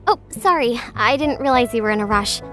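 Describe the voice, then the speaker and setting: A woman's voice: fairly high pitch, lively intonation, a little fast, a bit bright, faint music behind. A woman playing a friendly, chatty young cafe worker girl in an anime-style story, casual and upbeat. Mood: apologetic, kind.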